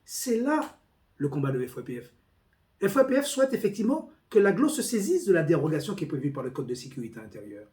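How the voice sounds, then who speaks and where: A man speaking as a police union representative, giving a formal, deliberate, emphatic report on a meeting. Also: fairly high pitch, big pitch swings, a little mellow. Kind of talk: spoken meeting report with quoted documents.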